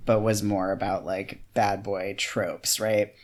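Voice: sits low, little pitch movement, slow. A podcast co-host in a casual book discussion, talking in an earnest, opinionated way and saying "like" a lot. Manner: earnest, opinionated, heavy use of "like".

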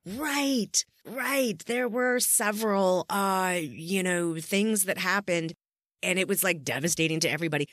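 A woman's voice: fairly low pitch, unhurried, fairly bright tone, no echo, studio quality. A woman hosting a podcast, chatting casually and enthusiastically with a guest as she interviews. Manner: effusive, enthusiastic.

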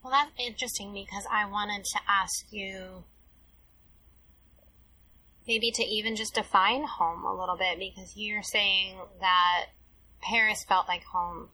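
Host, female, curious interviewer, bright edge, heard from a distance. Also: mid-range voice, fairly even intonation, measured pace, breathy edge. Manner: curious, probing. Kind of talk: interview questions.

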